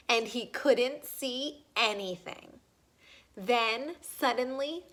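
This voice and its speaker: A woman reading a children's story aloud expressively, pausing to put questions to young listeners. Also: mid-range voice, bright, forceful tone.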